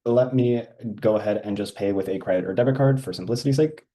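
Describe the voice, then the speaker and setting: A man walking through a software feature in a casual screen-share demo, with frequent ums and uhs. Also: fairly low pitch, fast.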